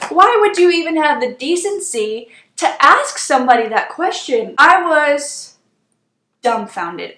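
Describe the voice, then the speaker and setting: A woman's voice: high-pitched, pushed and bright. A woman in her twenties telling stories in a casual, chatty and exasperated way as a beauty vlogger doing her makeup. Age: 20s. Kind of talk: casual story time while doing makeup. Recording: echoey room.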